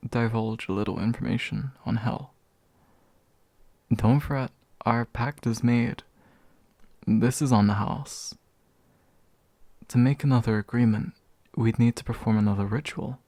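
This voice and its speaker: Guy acting as a polite comforting demon, soft asmr roleplay, low creaky voice, dry close sound. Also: fairly even intonation, a little slow, long pauses, no echo. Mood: musing, genial. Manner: gentle, hesitant, formally polite. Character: courteous, gentle male demon offering comfort to his summoner. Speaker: ASMR voice actor.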